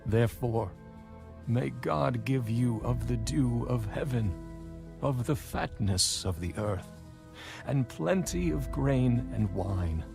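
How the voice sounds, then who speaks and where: An actor playing a solemn, dying old father in a dramatised audio Bible, giving blessings in a deliberate, gravelly voice. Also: mid-range voice, animated. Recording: background music under the voice.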